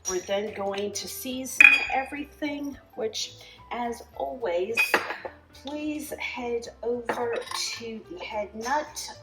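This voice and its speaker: A woman hosts her home cooking show in an unhurried, chatty way, with a slightly high voice. She fills her talk with asides and filler words as she cooks. The kitchen sounds echoey, and faint music plays underneath. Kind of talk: casual home cooking demonstration.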